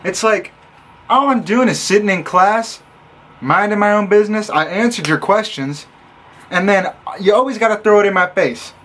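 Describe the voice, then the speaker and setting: Young man from Illinois, college vlogger, high light voice, sarcastic storyteller who acts out others' lines. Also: moderate pace, fairly bright tone. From Illinois, USA. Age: young adult. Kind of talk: casual storytelling vlog.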